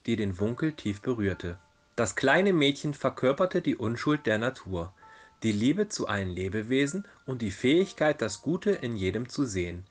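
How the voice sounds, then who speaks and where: A man narrating a fairy tale as a storyteller in a dramatized reading. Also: mid-range voice. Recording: music behind the voice. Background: background music.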